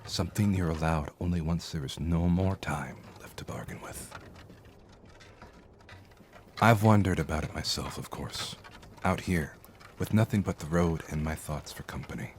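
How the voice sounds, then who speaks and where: A man plays a courteous, philosophical cart driver in a fantasy audio drama. His voice sits very low, and he speaks with formal, deferential care. A faint noise runs behind him. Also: normal speed. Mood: reflective, earnest.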